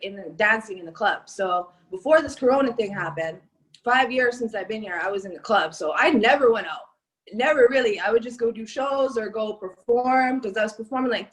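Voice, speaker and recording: A woman's voice: medium pitch, fairly bright tone, poor audio. A young woman from Canada, a rapper, chatting casually in an interview and filling her talk with "like" and "um". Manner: chatty, lots of "like" and "um". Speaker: rapper guest.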